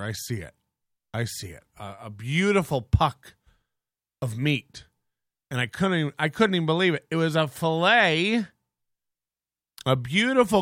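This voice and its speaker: A man's voice: fairly high pitch, a bit bright, dry recording, clean studio sound. A man hosting a podcast in casual, rambling chat, crude and joking, with lots of restarts. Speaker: podcast host.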